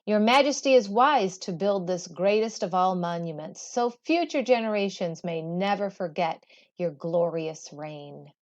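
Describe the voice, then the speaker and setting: Woman reading as a slow-spoken, fawning male courtier. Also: fairly low pitch, animated, muffled recording. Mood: reverent. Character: deferential, flattering male royal architect, anxious to please. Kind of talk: storybook read-aloud.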